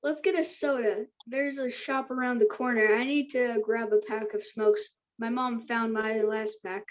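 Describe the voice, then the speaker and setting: Woman acting a teenage boy, confident, enterprising, higher-pitched, muffled recording, table-read drama. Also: fairly even intonation, a little slow, lightly acted. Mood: businesslike, easygoing.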